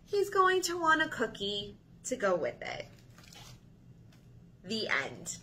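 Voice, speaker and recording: A woman in her thirties leading a children's story time, reading aloud in an animated, sing-song way to young listeners. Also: on the high side, slow, slight background noise. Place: small indoor room.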